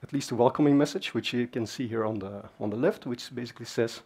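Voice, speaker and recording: Husky man giving a talk. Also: medium pitch, quick pace, a little mellow. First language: Dutch. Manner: conversational, many fillers and asides. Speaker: conference speaker. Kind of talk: technical conference talk. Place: large dark conference stage.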